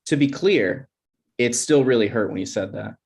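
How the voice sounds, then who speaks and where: A man's voice: mid-range voice, animated, moderate pace, creaky, a little mellow. A man playing a sensitive, jokey twenty-six-year-old boyfriend in a screenplay table read, casual and self-deprecating. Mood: playful, touchy.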